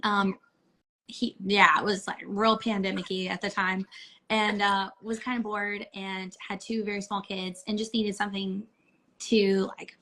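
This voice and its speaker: A woman in her thirties as a guest in a casual chat, her voice hoarse and cracking, easygoing. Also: medium pitch, little pitch movement, unhurried, sharp tone, low-quality recording.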